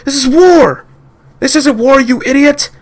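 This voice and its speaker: A man voicing an angry male army captain who shouts and barks orders at a soldier. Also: extremely high pitch, unhurried, pitched above the performer's own voice. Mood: furious.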